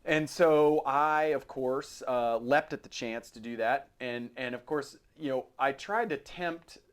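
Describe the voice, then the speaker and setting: A man's voice: medium pitch, unhurried, clean tone. A middle-aged man with an American accent hosts a podcast in a casual, chatty style with a wry, jokey streak. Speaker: podcast host. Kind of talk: casual chat about firearms.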